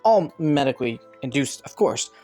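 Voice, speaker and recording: A woman's voice: a bass voice, animated, moderate pace, dark tone, faint music behind. A woman playing a young scheming prince in a romantic audio roleplay, courteous and formal, with flustered stammers. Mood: businesslike, composed.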